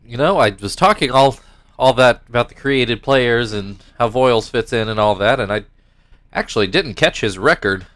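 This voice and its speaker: A young man with a stuffed-up nose talks casually over a baseball video game. His middle-pitched voice slips between rambling, self-correcting asides and mock play-by-play calls. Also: expressive, moderate pace, fairly bright tone. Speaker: gaming YouTuber commentating his own baseball game league.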